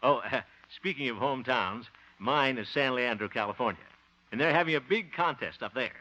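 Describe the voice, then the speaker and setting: A man's voice: medium pitch, sharp tone, natural voice. A man playing a bumbling, good-hearted fellow in a 1950 radio sitcom, sentimental and prone to chuckling. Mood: jovial. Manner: sentimental, chuckling, drawn-out delivery. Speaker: lead comic actor and show host. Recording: muffled noisy 1950 radio broadcast.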